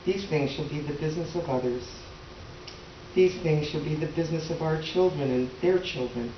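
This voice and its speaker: A woman's voice: deep voice, normal speed, close to an everyday voice. Echoey noisy room, lady acting as tired resigned farm wife, solemn stage monologue. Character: weary, resigned tenant farmer's wife and mother. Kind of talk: readers theater dramatic monologue. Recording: echoey room, distant mic, noisy, dull.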